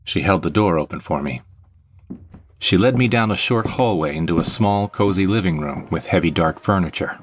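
A man's voice: deep voice, moderate pace, slight background noise, natural voice. A man playing a brooding, vengeful jilted ex-husband, narrating an audio drama in the first person with melodramatic deliberation. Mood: bitter, bewildered.

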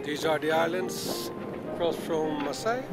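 Guy in his 50s vlogging from his boat, kinda high voice, music underneath. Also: normal speed. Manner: relaxed, chatty, laughs easily. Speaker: sailing vlogger. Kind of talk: casual vlog narration. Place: aboard a catamaran, outdoors and in the saloon.

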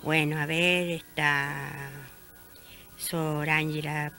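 A woman's voice: deep voice, little pitch movement, very slow, bright, forceful tone, soft background music, heavily acted. A woman playing a pious, friendly small-town neighbour in a radio drama, chatting and confiding news expressively. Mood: chatty.

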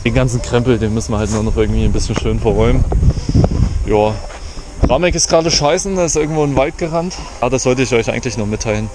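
A man's voice: mid-range voice, fast. Young man vlogging on a handheld camera. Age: young adult. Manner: relaxed, chatty, explaining as he goes. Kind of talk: casual travel vlog. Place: outdoors by a lake and road in a forest. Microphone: action camera held at arm's length. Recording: outdoor camera audio with clear background noise.

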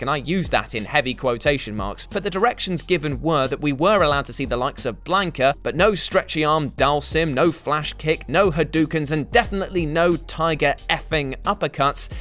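A man's voice: on the high side, fairly bright tone. A man in his thirties presenting a scripted, jokey countdown with theatrical emphasis and sarcastic asides. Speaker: list video presenter. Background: background music.

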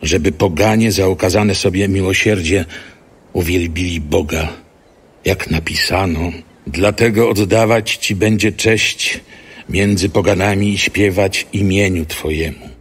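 A man's voice: low voice, unhurried, breathy edge. A man giving a solemn scripted reading of a scriptural letter. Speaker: scripture reader.

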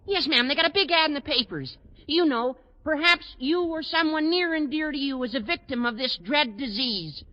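An actor playing an eager, scheming teenage schoolboy with a gushing, mock-grandiose manner in an old-time radio sitcom. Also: male voice, extremely high pitch, moderate pace. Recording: dull 1940s radio recording.

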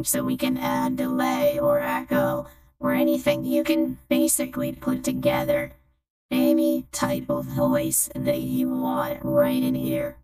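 Young robot woman, played by a man through a voice changer, wildly swinging pitch, casual demo delivery, musical tones. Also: full character voice. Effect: voice changer: female, robotic, pitch-tuned, delay. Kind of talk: casual software demonstration.

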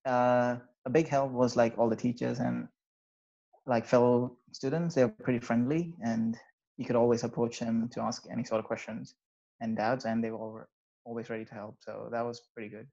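A man's voice: mid-range voice, normal speed, creaky. A young man from Sri Lanka, an undergraduate student, answering interview questions casually with many fillers over a video call from a small bedroom. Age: young adult. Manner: relaxed, hesitant, many fillers. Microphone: video call with wired earphones. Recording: slightly dull video-call audio.

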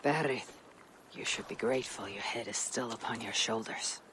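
A woman's voice: a bass voice, moderate pace, a little hiss or hum, low-quality recording. An actress playing a stoic, loyal Viking warrior woman in a dramatic game cutscene. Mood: firm, grave. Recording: loud background music under dialogue.

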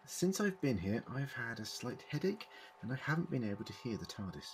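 Casting: male actor as clever, eccentric time traveller in audio drama; formal, explanatory, lively intonation, ambient noise behind. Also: mid-range voice, lightly acted. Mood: measured, explaining. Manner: deliberate, explanatory, formal wording.

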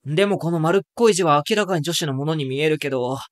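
A man playing a wry, self-mocking high school boy who narrates an anime audio drama with dry asides. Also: fast, dry recording, close to an everyday voice. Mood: uneasy.

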